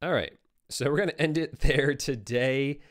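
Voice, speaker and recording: A young man hosting a casual live stream, reading viewer questions aloud and answering them, his voice tired and going hoarse, on a close studio microphone. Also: mid-range voice, moderate pace. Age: young adult. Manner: relaxed, conversational, reads viewer comments aloud. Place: home studio with brick wall. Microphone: boom-arm studio microphone close up.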